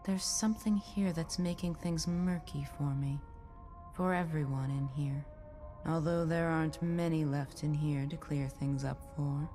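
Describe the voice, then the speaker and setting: A woman's voice: low voice, fairly even intonation, unhurried, warm tone. A woman playing a cryptic, serene, eerie nurse guide in a horror game, with an enigmatic, formal manner. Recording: long reverb, faint music.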